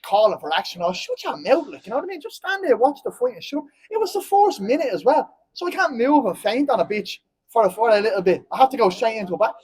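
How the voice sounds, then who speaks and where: A man's voice: extremely high pitch, sing-song, quick pace, few pauses, darkish tone, husky. A man in his twenties with a Dublin Irish accent, talking bluntly and heatedly at a post-fight press conference, rambling and often asking "you know what I mean". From Ireland. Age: 20s.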